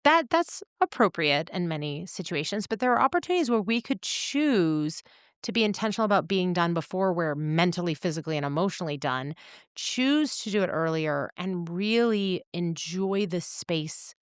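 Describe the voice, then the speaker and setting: A woman co-hosting a podcast, talking in a thoughtful, measured, reflective way. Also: on the low side, animated, clean tone, no room sound, studio quality. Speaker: podcast co-host.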